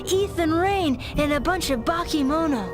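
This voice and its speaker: An actress playing an earnest, quick-witted young woman in a video game, speaking informally. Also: very high-pitched, expressive, loud music behind the voice. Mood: worried, earnest. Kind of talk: video game cutscene acting.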